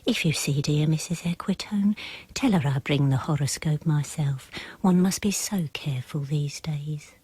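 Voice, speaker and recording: An actress playing a mysterious clairvoyante reading tarot cards in dramatic verse, with a breathy, husky, ominous delivery. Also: female voice, low-pitched, moderate pace, mellow, no echo. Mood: hushed, mysterious. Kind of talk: dramatic poetry recital.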